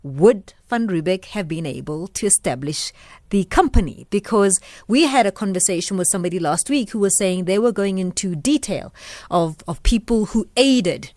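A South African woman speaks as a radio interview host. Her voice is medium in pitch, with measured, deliberate phrasing. The sound is close and dry, as in a studio. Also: expressive, a little mellow, no echo.

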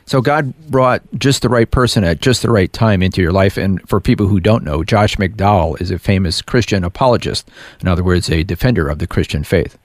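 A man's voice: low-pitched, a little fast, no room sound, studio quality. A man hosting a radio interview, asking guiding questions and giving short affirmations. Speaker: radio show host.

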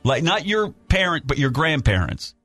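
A man's voice: a little high, moderate pace, no echo, soft background music. A man hosting a radio show, teasing a caller with laughing asides. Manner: teasing, lively, laughing interjections. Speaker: radio host. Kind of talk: morning radio banter.

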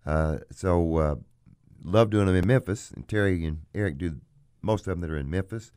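A man hosting a radio show about auctions, a bit hoarse today, talking in a folksy, salesy way. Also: sits low, normal speed, darkish tone, dry recording, clean studio sound. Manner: folksy, plugs his business. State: hoarse, frog in throat. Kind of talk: casual radio chat.

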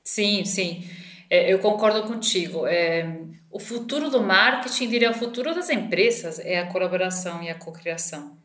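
A woman's voice: on the low side, moderate pace, fairly bright tone. A middle-aged woman from Argentina with a light Argentine Spanish accent, answering interview questions thoughtfully with frequent hesitations and restarts. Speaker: marketing director guest. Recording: roomy echo.

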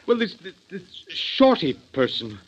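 A man's voice: high voice, sing-song, a little slow, slightly rough, exaggerated voice. An actor in a 1940s radio drama playing an anxious, earnest, well-spoken father. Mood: worried, earnest. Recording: dull old 1940s radio recording.

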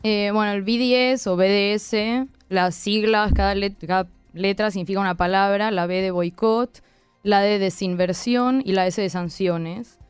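A woman in her thirties from Argentina, with an accent mixing Argentine and Spanish, explaining her work as a guest lawyer in measured, hesitant answers. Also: mid-range voice, fairly even intonation, smooth, no room sound, faint music behind. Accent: mixed Argentine and Spanish. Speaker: interview guest, human rights lawyer.

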